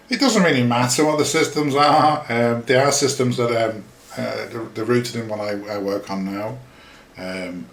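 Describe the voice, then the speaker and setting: A man's voice: on the low side, slight background noise. A middle-aged Englishman from Yorkshire with a Yorkshire accent touched by Liverpool, hosting a podcast in a casual, rambling, self-deprecating way with lots of fillers. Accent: Yorkshire English with a slight Liverpool tinge. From Yorkshire, England. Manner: chatty, self-deprecating, many fillers and restarts. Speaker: podcast host. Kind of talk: casual rambling talk over a screen-shared map. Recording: echoey room.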